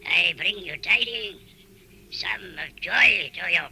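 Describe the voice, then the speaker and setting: Creaky, ancient talking raven. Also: male voice, high-pitched, sharp tone, a little hiss or hum, heavily acted. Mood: solemn. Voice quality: creaky, croaking bird voice. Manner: slow, formal, declamatory. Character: ancient talking raven, solemn and courteous herald. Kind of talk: vintage radio drama acting.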